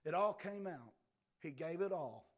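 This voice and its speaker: A man preaching a fiery sermon, impassioned and swinging between quiet and loud, his voice a little worn. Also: on the high side, expressive, a little slow.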